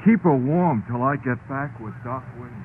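An actor playing a folksy, solicitous husband and devoted son in an old radio drama, gently bossy in manner. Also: male voice, medium pitch, moderate pace, lightly acted. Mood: worried, solicitous. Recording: tinny old radio recording, faint noise.

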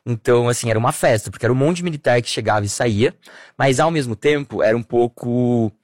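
A Brazilian man in his thirties, an interview guest, telling childhood memories in a warm, nostalgic way with many fillers. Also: medium pitch, quick pace, no echo, studio quality. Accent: Brazilian Portuguese. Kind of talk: relaxed personal conversation.

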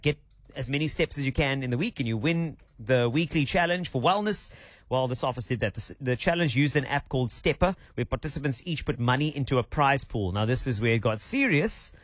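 Chatty South African radio host. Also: male voice, medium pitch, dry recording. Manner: chatty, laughing, storytelling. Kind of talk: casual radio chat. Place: radio studio. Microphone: studio microphone.

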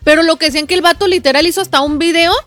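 A woman's voice: extremely high pitch, fairly even intonation, racing, a bit bright. A young woman with a Mexican accent, chatting casually as a radio co-host with slang and bits of English. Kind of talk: casual chat.

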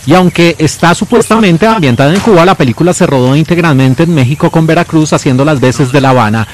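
A man presenting a film in scripted narration, giving titles and names with deliberate emphasis. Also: a little high, a little hiss or hum.

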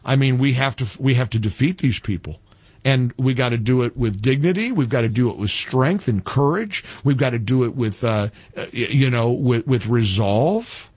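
A man's voice: medium pitch, normal speed, darkish tone, slightly rough, no echo. A male talk radio host speaking combatively, often repeating phrases for emphasis.

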